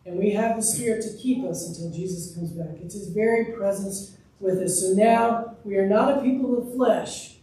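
Pastor, man in his thirties, earnest pulpit sermon, dark mellow tone, distant mic in a reverberant sanctuary with faint ambience. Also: sits high, normal speed. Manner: earnest, emphatic preaching with open gestures. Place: church sanctuary, speaking from a pulpit. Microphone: room mic, far from speaker. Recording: echoey distant church recording.

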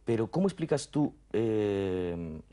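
A man's voice: lowish voice, very animated, takes it slowly, dark tone. A man, asking questions as a television interviewer. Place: dim furnished lounge, indoors. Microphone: table microphone on a stand.

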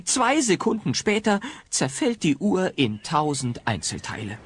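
An actor narrating a comic family audio play as a scripted, dramatic storyteller. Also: male voice, fairly high pitch, huge pitch swings, unhurried, background noise. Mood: wry storytelling.

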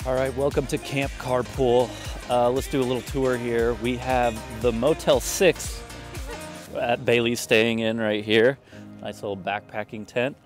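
A man's voice: medium pitch, flat delivery, unhurried. A man in his thirties filming a casual travel vlog outdoors on a phone held at arm's length, with upbeat, joking tour-guide patter. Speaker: vlogger. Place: outdoors on a forested coast. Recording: music playing behind.

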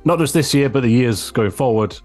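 A man's voice: medium pitch, animated, brisk, a little mellow, soft background music. A young man hosting a podcast, chatty and enthusiastic, talking into a large microphone over a video call. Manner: chatty, enthusiastic, asks leading questions. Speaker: podcast host. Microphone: large studio microphone on a video call.

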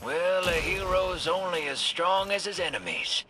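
An actor playing a cocky, wisecracking rogue in a video game, breezy and teasing. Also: male voice, high voice, measured pace, pushed and bright, a little hiss or hum, low-quality recording. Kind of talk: video game character acting.

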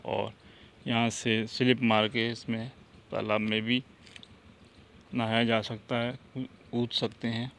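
A man walking through a farmhouse with his phone camera, pointing things out in a relaxed, plain way. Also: medium pitch, a little hiss or hum. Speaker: vlogger giving a property tour. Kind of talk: casual walkthrough narration. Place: outdoors and indoors at a farmhouse. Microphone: phone camera held by the speaker.

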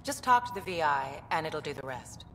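Alien host for a sci-fi game, polite, low-pitched, faint noise behind. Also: female voice, lively intonation, a little fast, pushed and bright, long reverb tail. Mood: welcoming. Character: polite alien woman running a cultural center. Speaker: cultural center host. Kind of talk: video game dialogue.

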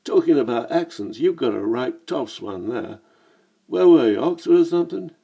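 A chirpy Cockney crook with a cheeky, matey swagger, as a storyteller puts him on. His voice is gravelly and husky and sits higher than the narrator's own. The recording sounds dull and lo-fi. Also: lively intonation, moderate pace, dark tone. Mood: teasing. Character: cheeky wiry Cockney man, small-time crook.

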